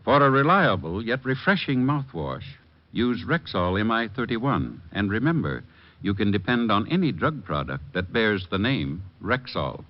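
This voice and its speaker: Lowish, animated radio druggist pitchman. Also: a little slow. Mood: earnest selling. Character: earnest male family druggist pitching products. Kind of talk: scripted 1940s-50s radio advertising. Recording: dull old radio recording.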